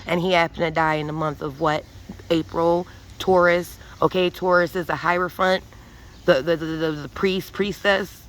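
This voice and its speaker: A woman's voice: fairly low pitch, fairly even intonation, normal speed, a little hiss or hum, poor audio. A woman giving an emphatic, profane spiritual monologue with many restarts, rambling and preachy. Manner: intense and preachy. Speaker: vlogger.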